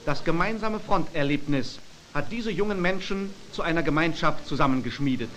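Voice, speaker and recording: A high-voiced man delivers scripted narration for a 1940s propaganda newsreel. His delivery is formal and declamatory, in the manner of a period announcer. Loud music plays behind him on the old film soundtrack. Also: lively intonation, moderate pace, rough recording.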